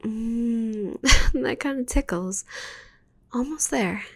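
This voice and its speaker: A sultry, possessive witch played by a woman murmurs in a breathy, husky whisper for an ASMR roleplay. Her delivery is slow and intimate, with creaky edges. She teases and gloats like a doting, dangerous captor. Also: on the high side, exaggerated voice. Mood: teasing, affectionate.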